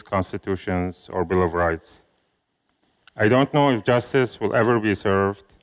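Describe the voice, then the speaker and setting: A man from Syria whose first language is Arabic, giving a slow, measured reading of a speech and a poem at an event. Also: lowish voice, clean tone. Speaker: speaker at an event.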